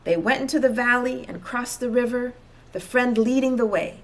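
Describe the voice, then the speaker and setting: A woman reading a children's storybook aloud as an expressive narrator. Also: a little high, moderate pace, slight background noise. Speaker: storybook reader.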